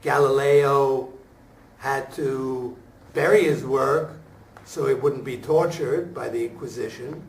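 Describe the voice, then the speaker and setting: A man, an actor at a talkback, speaks reflectively in halting, deliberate phrases with emphatic pauses. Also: mid-range voice, fairly even intonation. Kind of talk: reflective post-show discussion. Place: room at a staged reading, before an audience. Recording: echoey room, picked up from far off.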